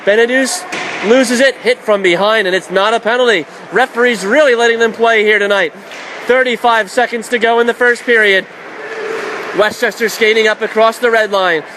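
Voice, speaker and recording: A man doing live radio play-by-play of a hockey game in clipped, excitable calls. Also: high voice, moderate pace. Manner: excitable on shots, clipped present-tense calls. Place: indoor ice hockey arena. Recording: loud rink noise behind the voice.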